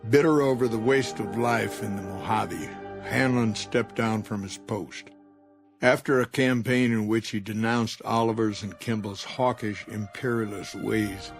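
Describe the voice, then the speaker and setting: A man's voice: on the low side, fairly even intonation, unhurried, gravelly, music in the background. A man playing a sober chronicler, narrating a scripted video game epilogue.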